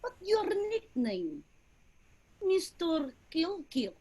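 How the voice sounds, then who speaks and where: Actress as cool assassin, stage drama: high voice, slow, deliberate delivery. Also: female voice, wildly swooping pitch, a little mellow, exaggerated voice. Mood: cool, probing. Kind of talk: dramatic staged play reading.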